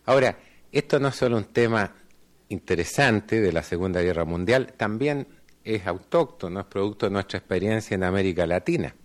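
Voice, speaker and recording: A deep-voiced middle-aged man addresses a panel in a conference hall. He speaks in a measured, formal way and often stops on small fillers as he builds his points. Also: lively intonation. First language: Spanish. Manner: measured, hesitant with frequent fillers. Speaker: panel speaker, law school dean.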